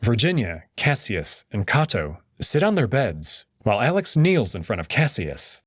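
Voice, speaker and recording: A man narrating a story in the first person in an expressive storytelling manner. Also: medium pitch, normal speed, no room sound, clean studio sound. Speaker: audio drama narrator.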